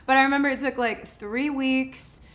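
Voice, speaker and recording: A young woman whose first language is Polish, raised in New York, chatting casually as an interview guest on a sofa in a small room, candid and quick to laugh. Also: high voice, steady tone, fairly bright tone. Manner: laughing, playful, candid storytelling. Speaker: guest actor.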